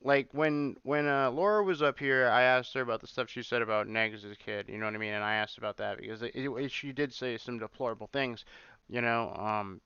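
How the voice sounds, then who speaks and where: A man's voice: mid-range voice, fairly even intonation, fairly quick, pushed and bright, smooth, dry recording, dull audio. A man hosting a casual livestream, rambling and repeating himself, swearing often as he reacts. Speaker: livestream host. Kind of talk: casual livestream chat reacting to clips and viewer comments.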